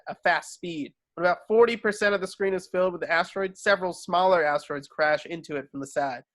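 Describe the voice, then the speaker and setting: Higher-pitched man narrating a script. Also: fairly bright tone. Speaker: narrator reading stage directions.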